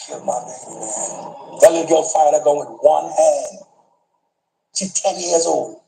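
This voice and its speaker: A man's voice: on the high side, unhurried, mellow, low-quality recording. A man in a news clip retelling a shooting, repeating himself in distress. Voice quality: gravelly, repetitive.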